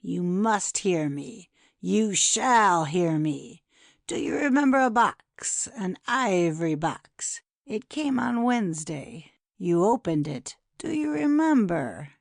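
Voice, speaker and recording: A woman plays a cruel, gloating poisoner in a dramatic audiobook. The delivery is slow and taunting, gravelly with fry, and set close in a dry space. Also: mid-range voice, animated, dry recording. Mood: taunting, insistent.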